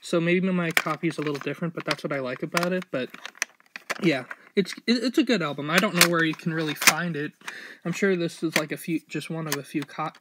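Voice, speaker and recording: A man's voice: fairly high pitch, brisk. A young man giving a casual, unscripted show-and-tell as a vlogger, rambling and hesitant as he goes. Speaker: vlogger showing a collection. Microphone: handheld phone or camera mic. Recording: noisy, fuzzy handheld amateur recording.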